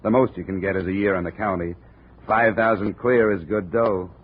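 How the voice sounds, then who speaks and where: Husky hardboiled radio detective man. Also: low-pitched, steady tone, moderate pace. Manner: dry, clipped hardboiled delivery. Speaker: insurance investigator lead. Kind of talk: 1950s radio drama narration and acting. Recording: tinny old radio recording.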